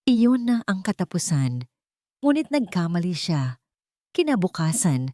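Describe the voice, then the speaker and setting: A woman's voice: lowish voice, a little mellow, no echo, clean studio sound. A woman with a Filipino accent narrating a dramatic scripted love story.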